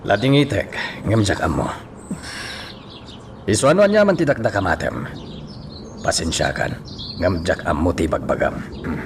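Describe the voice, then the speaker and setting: A man's voice: deep voice, sing-song, normal speed, creaky, long pauses, noisy background, full character voice. An actor playing a troubled, protective middle-aged father in a radio drama, with a Filipino accent. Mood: apologetic.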